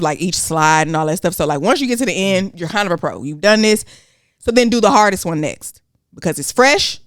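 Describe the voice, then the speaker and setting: A woman with a Southern US accent co-hosting a podcast, talking in an animated, slangy, cursing way. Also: mid-range voice, dry recording, studio quality. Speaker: podcast co-host. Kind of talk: casual chat.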